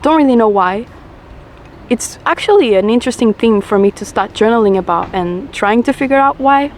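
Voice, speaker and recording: Low-voiced young woman, reflective chat. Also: lively intonation, normal speed, slight background noise. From Portugal. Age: 20s. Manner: reflective, rambling, confessional; smoking while talking. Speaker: vlogger. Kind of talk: casual chat to camera.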